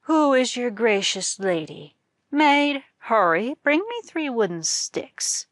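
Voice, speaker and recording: A woman's voice: a little high, very animated, slow pace, no room sound, studio quality, voice pushed up. A woman voicing a wronged young wife in a folktale, indignant and commanding.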